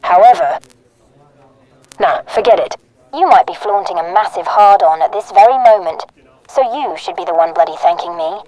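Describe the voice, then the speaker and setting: A woman's voice: on the low side, moderate pace, mellow, dry recording, lightly acted, low-quality recording. A woman playing a blunt, sardonic young woman with a sweary, irritable manner in a drama. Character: brash, foul-mouthed, irritated young woman customer. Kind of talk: scripted drama dialogue.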